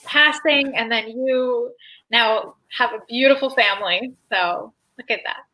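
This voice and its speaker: A woman from Israel, a guest chatting easily over a video call with short, relaxed replies. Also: fairly high pitch, a little slow, rough recording. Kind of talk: casual interview chat. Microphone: video call stream.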